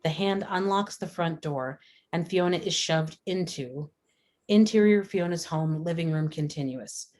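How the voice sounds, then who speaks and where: A woman's voice: low voice, unhurried, a little mellow. A woman reading scripted screenplay stage directions as the narrator of a dramatic table read.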